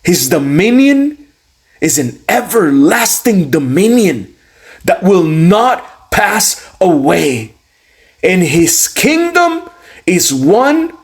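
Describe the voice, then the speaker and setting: Male, slightly high pitch, unhurried pace, solemn declamatory scripture reading, drawn-out emphasis. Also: slightly rough. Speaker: student reader of scripture.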